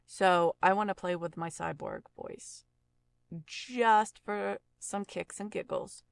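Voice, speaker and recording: Female tutorial host, informal and explanatory, lowish gravelly-edged voice, relaxed thinking-aloud delivery. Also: no room sound. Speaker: voice actor giving an audio editing tutorial. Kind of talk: casual screen-recorded software tutorial.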